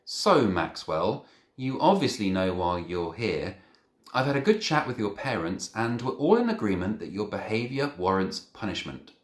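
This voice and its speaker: Stern head teacher, a woman acted by a man, storybook scolding, deliberate and commanding, roomy echo. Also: fairly low pitch, sing-song, pitched above the performer's own voice. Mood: grave, formal. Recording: echoey room.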